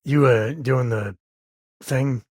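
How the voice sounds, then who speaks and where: Very husky, easygoing space cowboy. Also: male voice, medium pitch, takes it slowly, dry recording. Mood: upbeat, wry. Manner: folksy, relaxed phrasing with dry humour. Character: easygoing male space cowboy, devoted father and loyal companion. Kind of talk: video game voice acting. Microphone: close dry studio mic.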